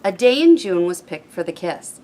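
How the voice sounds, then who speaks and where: A woman's voice: on the low side, very animated, normal speed, warm tone, a little hiss or hum. A woman on a school's staff reading a children's story aloud.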